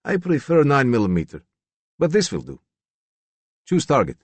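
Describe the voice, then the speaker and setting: A man voicing a young, calm and dangerous Yugoslavian gunman with a Slavic accent and clipped broken English, in an audiobook. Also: medium pitch, normal speed, no echo, pitched above the performer's own voice. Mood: cool, matter-of-fact. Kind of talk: audiobook character dialogue.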